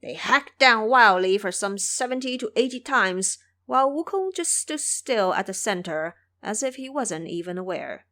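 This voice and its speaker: A woman narrating a scripted audio drama as an expressive storyteller. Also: mid-range voice, moderate pace, few pauses.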